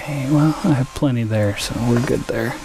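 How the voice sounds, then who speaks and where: A man chatting casually and a little haltingly as he streams a video game. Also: medium pitch, background noise. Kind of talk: casual gaming livestream chat.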